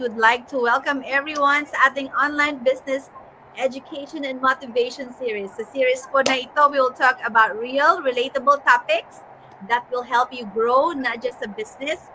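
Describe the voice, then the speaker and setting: Filipino woman, motivational online host. High-pitched, encouraging, Taglish, slight background noise on a call. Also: pushed and bright, low-quality recording. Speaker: host and coach. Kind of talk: motivational live online webinar hosting, mixing Tagalog and English. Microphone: online video call.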